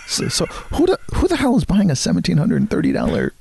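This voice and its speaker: A man's voice: high voice, wildly swooping pitch, fast, gravelly, a little mellow, slightly rough, no room sound. A man co-hosting a podcast in casual comic chat, with a wry, incredulous, salty way of talking. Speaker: podcast co-host.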